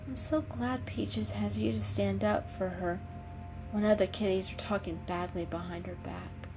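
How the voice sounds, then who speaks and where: A woman voicing a gentle, timid young female cat in a storybook, soft and concerned in manner. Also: mid-range voice, steady tone, normal speed, over music, close to an everyday voice, rough recording.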